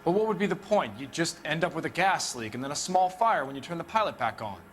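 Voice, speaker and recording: A man's voice: a little high, very animated, quick pace, faint music behind. A man playing a defiant, idealistic technician suspect in a video game drama, haughty and argumentative. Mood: guarded.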